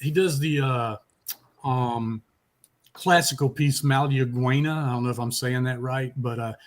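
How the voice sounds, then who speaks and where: A man's voice: mid-range voice, steady tone, measured pace. A man hosting a music talk show, chatting casually in a rambling, salty-tongued way. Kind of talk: casual chat.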